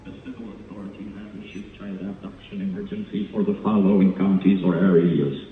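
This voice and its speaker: A synthetic man's voice reading out an automated emergency alert in a flat, stilted way. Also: deep voice, fairly quick. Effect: synthetic text-to-speech voice. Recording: phone-like narrowband, distant, echoing, faint noise.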